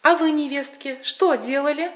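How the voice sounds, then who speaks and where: A woman's voice: sits high, fairly even intonation, moderate pace, fairly bright tone, voice pushed up. A woman voicing a stern but kindly peasant father in a folk tale, with an emphatic, folksy, questioning manner. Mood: probing.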